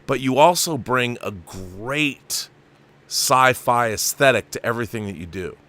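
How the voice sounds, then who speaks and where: A man's voice: medium pitch, animated, a little slow, a bit bright. A middle-aged man hosting a casual fan interview, with an enthusiastic, opinionated way of talking. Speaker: show host.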